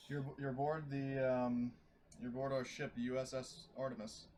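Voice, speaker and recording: A man playing a tabletop roleplaying game, chatting casually in a halting, hesitant way. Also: mid-range voice, little pitch movement. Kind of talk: casual in-character and out-of-character game chat. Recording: clearly noisy mic with clicks.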